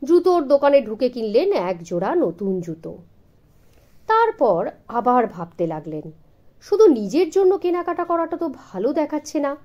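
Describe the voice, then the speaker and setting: A woman narrating a children's story with dramatic expression and deliberate pauses. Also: sits high, very animated, lo-fi sound.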